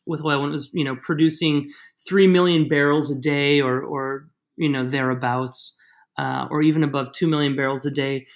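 Male podcast guest, higher voice, gravelly, explains with many restarts. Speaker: guest expert. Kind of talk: interview podcast.

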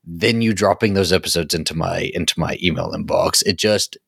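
A man's voice: low-pitched, a little fast, gravelly, a bit bright, husky, dry recording, clean studio sound. A man co-hosting a podcast, chatting casually and effusively as a fan. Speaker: podcast co-host.

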